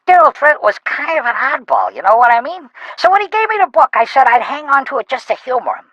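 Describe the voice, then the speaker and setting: A woman playing a gruff, folksy carousel operator in a video game, talking in blunt slang. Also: sits high, very animated, quick pace, fairly bright tone, dry recording, dull audio, full character voice. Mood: matter-of-fact. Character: gruff, folksy, brusque middle-aged woman carousel operator who loves fishing.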